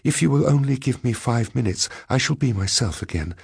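A man's voice: medium pitch, animated, a little fast, gravelly, a little mellow, husky, dry recording, clean studio sound, voice pushed up, heavily acted. A man voicing a frightened, lovesick scheming woman in an audiobook, weakened, pleading and gasping. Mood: weak, pleading. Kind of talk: audiobook character voice.

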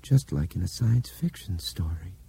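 A man voicing an earnest young man in an audiobook, excitable and easily awed. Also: on the low side, normal speed, gravelly, breathy edge, voice pushed up, heavily acted. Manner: excited, eager.

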